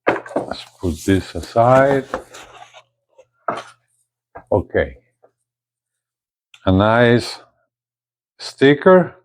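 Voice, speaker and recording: Guy doing a casual gadget unboxing, really slow pace, medium pitch, kinda halting and repeats words. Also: animated. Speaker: gadget reviewer, video host.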